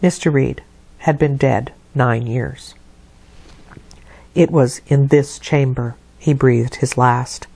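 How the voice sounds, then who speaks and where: Low-voiced woman reading a classic novel. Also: fairly even intonation, slow, vocal fry, mellow, breathy edge, no room sound. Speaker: audiobook reader.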